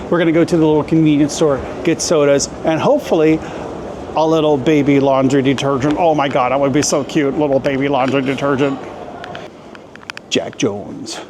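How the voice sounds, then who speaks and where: A playful, jokey man talks casually as a travel vlogger on a handheld camera. His voice sits a touch high, and clear street noise runs under it outdoors. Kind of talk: casual vlog narration. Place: outdoors in a town and in shops.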